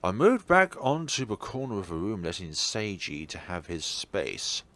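A man with a fairly low voice narrates a visual novel for a let's play. His reading is expressive, with wide movement in pitch. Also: moderate pace. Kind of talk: narration read aloud for a game playthrough.

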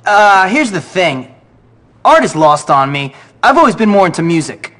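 An actor playing a blunt, defiant fifteen-year-old schoolboy in a video game, terse and sarcastic, with a husky voice. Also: male voice, high-pitched, sing-song, normal speed, fairly bright tone. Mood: awkward. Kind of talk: video game cutscene acting.

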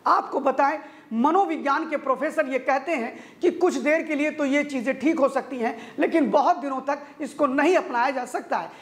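High-voiced woman reading the news. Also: moderate pace. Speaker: news presenter. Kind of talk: scripted news narration.

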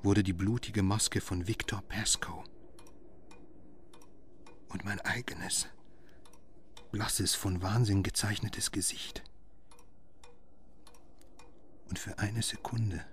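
A man playing a grim, haunted husband and father, narrating an audio horror drama in measured phrases. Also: fairly low pitch, steady tone, slight background noise. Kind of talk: scripted first-person horror narration.

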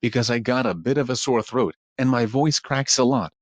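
A man plays a swinging singer lamppost from a homemade cartoon. The voice sits at a medium pitch and has a laid-back, complaining delivery. The recording is dry. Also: moderate pace, dry recording, close to an everyday voice. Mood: casual complaining. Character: swinging crooner Christmas lamppost with a sore throat.

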